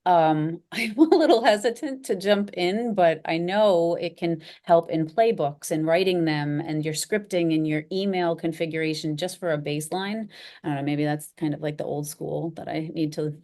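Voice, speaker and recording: Low-voiced woman, candid and chatty. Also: normal speed, mellow. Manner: candid, self-deprecating, laughs easily. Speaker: guest. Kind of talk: casual conversational interview.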